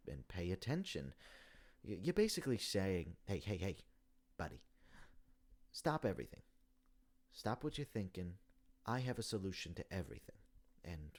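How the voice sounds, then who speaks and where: A man voicing an elderly, melancholy hippopotamus from a video game, stammering and rambling on slowly and wistfully. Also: low-pitched, a little mellow. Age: older. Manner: hesitant, stammering, long-winded rambling. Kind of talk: video game character monologue.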